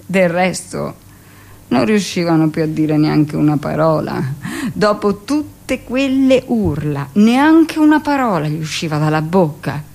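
An actress playing a hardened middle-aged hospital midwife, speaking a dramatic monologue in a deliberate, confiding, matter-of-fact way. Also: female voice, fairly low pitch, lively intonation, vocal fry, a little mellow, exaggerated voice. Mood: matter-of-fact, defensive. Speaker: actress performing a radio monologue. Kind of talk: dramatic first-person theatrical monologue.

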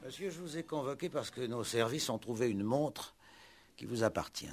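A man's voice: medium pitch, animated, normal speed, loud music behind the voice, close to an everyday voice. A man playing a wry, insistent police commissioner in a 1960s French radio play, probing with mock politeness and pointed repetition.

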